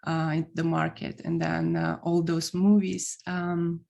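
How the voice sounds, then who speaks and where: Gravelly-voiced Russian-accented woman. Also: low voice, a little slow, muffled recording, slight background noise. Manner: relaxed, hesitant with fillers. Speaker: podcast guest. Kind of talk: casual chat.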